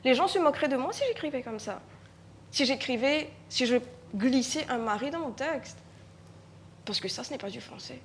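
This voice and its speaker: A Mauritian woman with a Mauritian French accent, a writer speaking reflectively on a live conference panel, often pausing and repeating words as she searches for them. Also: high-pitched, expressive, slight background noise.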